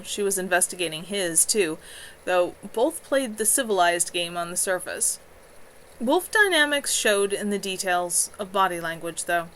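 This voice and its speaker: Bright expressive woman reading a novel. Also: medium pitch, slight background noise, poor audio. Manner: expressive, storytelling. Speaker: author reading her own novel. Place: at home.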